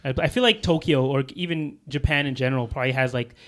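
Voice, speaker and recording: A man's voice: mid-range voice, quick pace, a bit bright. A man hosting a podcast, asking questions and chatting casually with a guest. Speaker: podcast host, interviewer.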